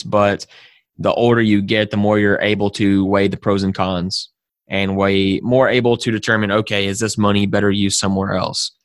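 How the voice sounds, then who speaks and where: Casting: male interview host, lowish flat voice, measured and encouraging, dry close sound. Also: no echo. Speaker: podcast host. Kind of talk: interview hosting.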